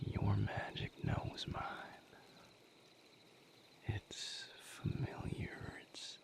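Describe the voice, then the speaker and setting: A man playing a gentle, patient vampire mate in an intimate audio roleplay, coaxing softly in a breathy, gravelly whisper. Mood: soothing, focused. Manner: soothing, coaxing, unhurried guidance.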